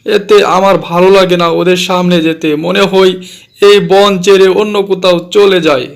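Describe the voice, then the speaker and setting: Brief: plaintive cartoon fox, voiced by a man, high and self-pitying. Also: steady tone, normal speed, creaky, clean tone. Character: sad mocked male fox with cut tail.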